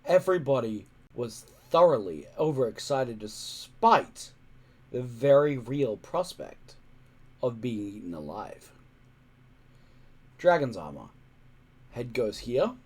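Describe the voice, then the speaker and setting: A man reading a children's adventure story aloud in expressive narration. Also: mid-range voice, takes it slowly, long pauses, husky. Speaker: reader.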